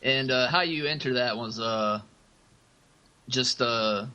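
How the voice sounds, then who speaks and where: A man with an average-pitched, sharp and bright voice chats casually as a podcast co-host. He talks in a halting way, doubling back on his words. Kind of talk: casual chat.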